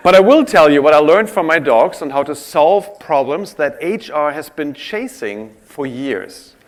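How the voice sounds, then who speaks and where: A man giving a conference presentation on stage, an animated storyteller who draws the audience in. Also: a little high, a little slow. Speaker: conference speaker. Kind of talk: keynote-style presentation.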